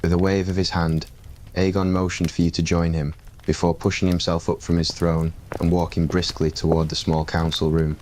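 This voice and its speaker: A man with a very deep voice reading a scripted ASMR story. His delivery is calm and even, with a fairly flat intonation. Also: normal speed, slight background noise, rough recording. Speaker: narrator.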